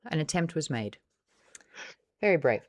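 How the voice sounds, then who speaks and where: A woman's voice: low voice, animated, normal speed, a little mellow. A woman with an Australian accent hosting a casual book club livestream, teasing and sarcastic as she chats with viewers.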